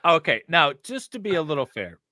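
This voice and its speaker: A middle-aged man with a bright, forward voice and an average pitch, recorded close and dry. He reads aloud casually, breaking in with wry, teasing commentary. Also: very animated, fairly quick, no room sound. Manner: wry, teasing, interjects asides. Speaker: co-host reading and reacting to a document.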